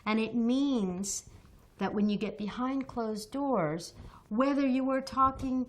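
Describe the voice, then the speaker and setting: A woman's voice: fairly high pitch, slow. A woman from the United States answering interview questions in an earnest, passionate way, often restarting and repeating words as she builds her point. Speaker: interview guest, activist.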